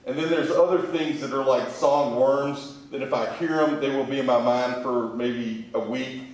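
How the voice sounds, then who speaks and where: A man's voice: medium pitch, steady tone, moderate pace. Man answering questions in very echoey room. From Kentucky, United States. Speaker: interview guest, museum sales manager. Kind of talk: conversational interview answers. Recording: very echoey room, distant mic.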